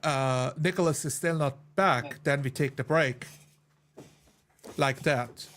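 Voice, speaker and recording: A man's voice: medium pitch, takes it slowly, a bit bright. A man chatting casually as one of the players in a tabletop roleplaying group. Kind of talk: casual chat.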